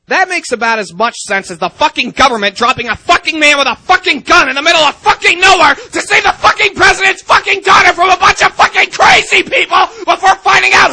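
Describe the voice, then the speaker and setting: Man playing a sharp, sarcastic secret agent. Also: very high voice, no echo, exaggerated voice. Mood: exasperated rage. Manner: sarcastic, exasperated. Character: irritable, foul-mouthed young male secret agent.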